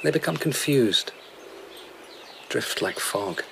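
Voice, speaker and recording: A man's voice: mid-range voice, animated, slow, creaky, noisy background. A man in a radio drama playing a dreamy, hard-drinking vagabond who tells lyrical, rambling tales as a royal favourite. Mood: wistful, teasing.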